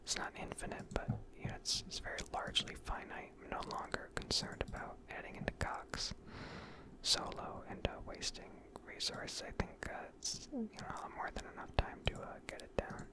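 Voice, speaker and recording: A woman speaking in a breathy whisper, giving rambling, unscripted and self-deprecating ASMR commentary over gameplay. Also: moderate pace, poor audio. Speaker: gaming video creator.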